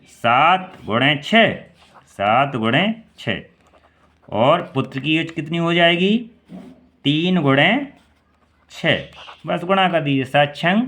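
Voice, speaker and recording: A man's voice: a little high, pushed and bright. A man in his thirties from India teaching an exam-prep maths lesson, quizzing the listener and answering himself, repeating key steps. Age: 30s.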